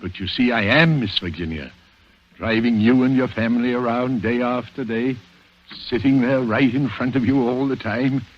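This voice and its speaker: A man's voice: mid-range voice, normal speed, a little hiss or hum, lightly acted. An actor playing a formal, deferential male chauffeur with a coolly calculating air, in 1940s radio drama style. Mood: smug. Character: servile male chauffeur, secretly a smug calculating killer. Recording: muffled vintage radio recording with music.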